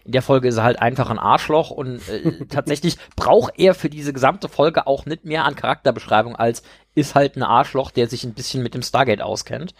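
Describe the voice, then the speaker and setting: A man's voice: mid-range voice, fairly quick, hardly any pauses, husky, dry recording. A man co-hosting a casual podcast discussion, rambling with many fillers and laughing at his own jokes. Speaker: podcast co-host.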